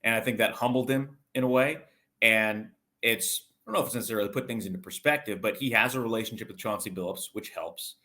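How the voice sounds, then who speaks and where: A man's voice: fairly low pitch, fast talker, sharp tone. A man giving conversational sports analysis as an interview guest, explaining things at length and in detail. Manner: talkative, explanatory, long detailed answers.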